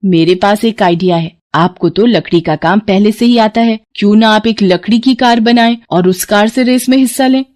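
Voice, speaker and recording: A woman voicing a supportive young wife in an animated moral story, reassuring and emphatic. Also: mid-range voice, normal speed, hardly any pauses, close to an everyday voice. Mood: encouraging.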